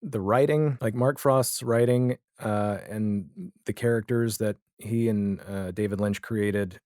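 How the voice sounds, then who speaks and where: A man speaking as a podcast guest in a casual pop-culture discussion, with halting asides as he lists things. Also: fairly low pitch, steady tone, a little mellow, no echo, clean studio sound.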